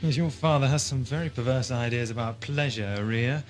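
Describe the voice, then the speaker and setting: A man's voice: medium pitch, normal speed, lightly acted. A man playing an inquisitive, wry and polite time-travelling adventurer in an audio drama, with a questioning manner. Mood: probing.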